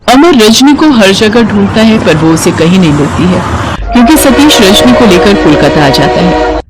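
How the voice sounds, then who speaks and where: A woman's voice: medium pitch, big pitch swings, moderate pace, background noise. A woman narrating a scripted moral story as a storyteller.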